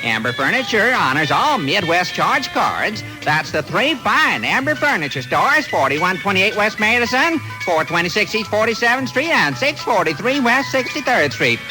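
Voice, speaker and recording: A man's voice: sits high, very animated, normal speed, few pauses, poor audio. A man delivering a hard-sell retail advertisement on 1960s AM radio. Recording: old AM radio aircheck, music behind.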